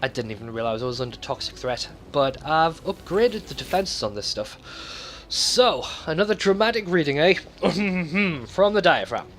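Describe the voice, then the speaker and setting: A man's voice: fairly high pitch, sing-song, moderate pace, a bit bright, a little hiss or hum. A man hosting a let's play with casual, rambling gameplay commentary and loose swearing.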